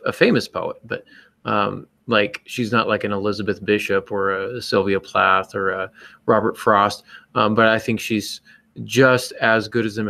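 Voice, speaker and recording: A man's voice: on the low side, creaky, sharp tone. A man hosting a podcast with casual, joking chat, enthusiastic and somewhat rambling. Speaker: podcast host.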